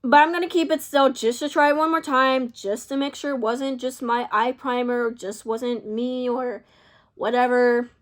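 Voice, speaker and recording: A young woman vlogger talking casually to camera, rambling and indecisive, her voice a little hoarse from talking for hours. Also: high-pitched, fairly even intonation, normal speed. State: losing her voice. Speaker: beauty vlogger.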